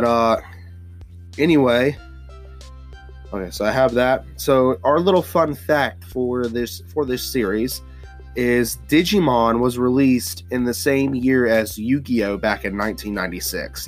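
A man hosting a casual solo podcast, stuffy-nosed and rambling with lots of fillers and restarts. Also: medium pitch. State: stuffy nose. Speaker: podcast host. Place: hot humid home studio with fans running.

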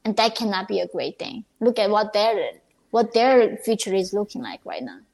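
A woman speaking as an interview guest, earnest and a little halting, restarting her sentences. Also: medium pitch, lively intonation, creaky, a little mellow, low-quality recording. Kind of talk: conversational interview.

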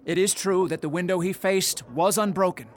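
Actor as a formal, higher-voiced medical examiner. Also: male voice, natural voice. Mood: clinical. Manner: formal, measured, pedantic. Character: authoritative, dismissive medical examiner, learned and formal. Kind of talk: 1930s-style radio drama acting.

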